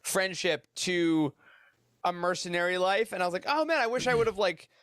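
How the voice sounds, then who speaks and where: A podcast panelist chatting casually in an expressive, emphatic way, often acting out quotes. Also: mid-range voice, normal speed, no room sound, studio quality. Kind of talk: casual roundtable chat.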